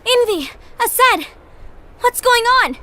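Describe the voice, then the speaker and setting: An earnest young heroine in a game cutscene. Her voice is extremely high and bright, unhurried, with sweeping intonation. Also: animated, exaggerated voice. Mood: shocked, distressed. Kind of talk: dramatic video game cutscene acting.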